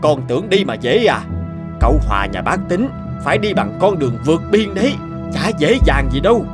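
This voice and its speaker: An actor playing a blunt, wary middle-aged farmer and father in an animated family drama, with a gruff manner. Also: on the high side, a little fast. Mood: firm. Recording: music behind the voice.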